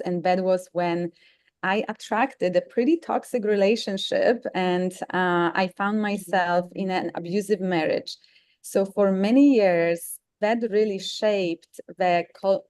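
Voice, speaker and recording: A woman from Poland speaks English with a clear Polish accent, English being her second language. She tells her story in an earnest, reflective way as an interview guest. Her voice sits at a middle pitch and sounds dry, with no room around it. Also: darkish tone, no echo. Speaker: guest author. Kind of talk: interview conversation.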